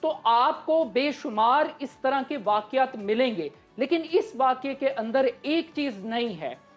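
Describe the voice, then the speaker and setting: High-voiced Pakistani woman giving emphatic analysis. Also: lively intonation, a little slow, soft background music. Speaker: analyst on a current affairs show. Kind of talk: live political commentary.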